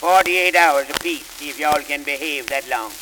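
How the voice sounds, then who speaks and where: An actor playing an impatient small-town judge who runs his court briskly. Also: a little high, lightly acted. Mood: stern. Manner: brisk, no-nonsense. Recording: hissy, echoey old record.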